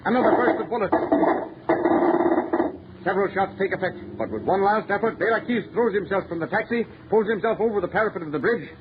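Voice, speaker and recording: A man's voice: high-pitched, a little fast. Dramatic old-time radio narrator, male. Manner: dramatic, declamatory, formal. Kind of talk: 1930s radio mystery narration, scripted. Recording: muffled, hissy old radio recording.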